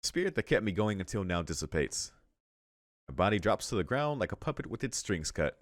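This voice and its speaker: Very low-voiced man reading aloud. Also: very animated. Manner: measured narration broken by casual slangy asides. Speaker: let's play host. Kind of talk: reading a visual novel aloud with casual reaction commentary. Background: music.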